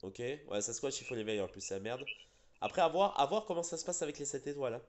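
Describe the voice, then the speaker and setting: A man's voice: mid-range voice, quick pace, slight background noise. Congested man chatting about a game. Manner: rambling, hesitant, many fillers and restarts. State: a bit sick and congested. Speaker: gaming channel host. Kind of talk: casual gaming chat.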